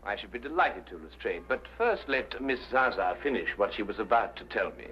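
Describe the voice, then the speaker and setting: An actor playing a courteous, composed gentleman detective who questions politely in an old-time detective drama. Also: fairly low pitch, expressive, fairly quick, close to an everyday voice. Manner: polite, probing, slightly hesitant questioning. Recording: muffled old radio-era recording.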